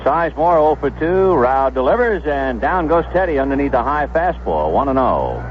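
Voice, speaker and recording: A male baseball announcer calls the game in measured, descriptive phrases. His pitch rises and falls freely over a muffled old radio broadcast with faint noise behind him. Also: very animated. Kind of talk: live radio sports commentary. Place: stadium broadcast booth.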